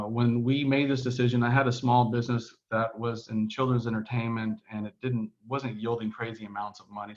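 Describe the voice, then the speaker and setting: A man's voice: mid-range voice, highs cut off. A man from Texas with a Texan accent, a podcast guest telling his story in a casual, rambling way with many restarts and fillers. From Texas, United States. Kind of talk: casual conversational interview. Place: home in Barcelona, on a remote call.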